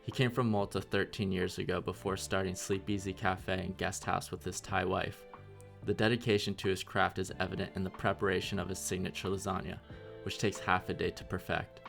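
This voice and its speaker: A man narrates a documentary in a very deep, gravelly voice. His delivery is scripted, presenting the people he has interviewed. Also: little pitch movement, fairly quick, a bit bright, soft background music.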